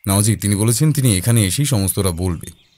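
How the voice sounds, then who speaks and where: A man playing a low-voiced, thoughtful detective. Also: darkish tone, dry recording, close to an everyday voice. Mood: calm, pondering. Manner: deliberate, pausing, hesitating mid-thought. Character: calm, thoughtful male detective, measured and observant. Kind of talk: audio drama dialogue.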